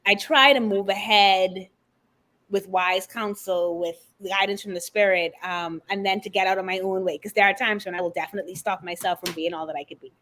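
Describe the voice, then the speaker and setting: A middle-aged woman from the Bahamas, a guest author and confidence coach, telling her story in an expressive, animated way during an interview. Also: mid-range voice, a little fast. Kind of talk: conversational interview.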